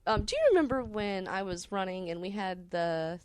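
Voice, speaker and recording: A woman telling personal stories as a podcast guest in casual conversation, halting and deliberate, with rather formal wording. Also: medium pitch, animated, slight background noise. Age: adult parent.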